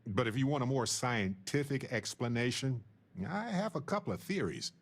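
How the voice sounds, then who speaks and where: An actor playing a witty, sentimental space explorer and scientist in a video game, with a wry, playful, musing manner. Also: male voice, mid-range voice, big pitch swings, moderate pace. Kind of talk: video game dialogue acting.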